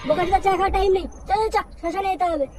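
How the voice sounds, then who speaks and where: A woman voicing a bossy, irritable village man in a comedy cartoon, scolding and foul-mouthed, with a pitched-up cartoon sound. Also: fairly even intonation, rapid-fire, far from the mic, very noisy.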